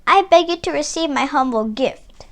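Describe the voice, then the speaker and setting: Woman as a humble, high-voiced poor villager. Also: expressive, normal speed. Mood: humbly pleading. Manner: pleading, respectful.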